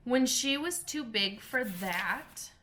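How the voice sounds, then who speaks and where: A woman, a teacher, reading a children's storybook aloud in a gentle, clear storytelling manner. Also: a little high, expressive, slow, a little hiss or hum.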